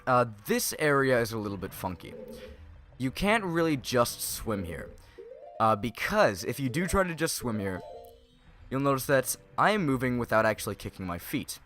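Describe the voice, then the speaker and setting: A man's voice: medium pitch, sing-song, normal speed, fairly bright tone. A teenage young man giving casual, rambling, jokey commentary over a video game playthrough. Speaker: gaming YouTuber, host of a playthrough series. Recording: game music behind the voice. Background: video game music.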